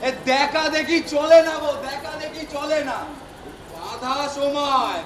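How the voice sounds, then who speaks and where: A man's voice: extremely high pitch, measured pace. An actor playing a flustered, self-important old God in a comic stage play, stammering and repeating himself, pompous when he addresses a crowd. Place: theatre stage at a drama festival. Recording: echoey distant stage recording, noisy audience.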